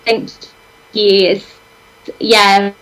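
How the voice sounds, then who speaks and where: A woman's voice: medium pitch, flat delivery, drawn-out words, fairly bright tone, low-quality recording. Thoughtful woman organiser speaking on a live show. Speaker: arts organiser.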